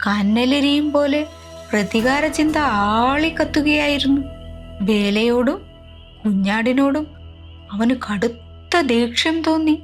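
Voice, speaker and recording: A woman storyteller narrating a scripted children's tale in an expressive, dramatic way. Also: sits high.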